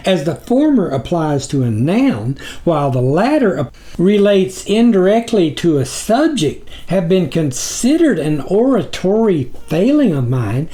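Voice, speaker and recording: A man's voice: a little high, dark tone. A man voicing a grovelling demon underling in an audiobook story, a wordy, pedantic academic who speaks with obsequious formality. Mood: apologetic.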